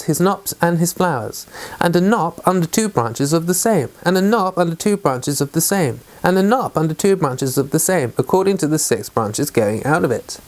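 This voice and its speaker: A man hosting a daily Bible read-along, speaking in a measured, deliberate way. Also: on the high side. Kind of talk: reading scripture aloud with casual chat.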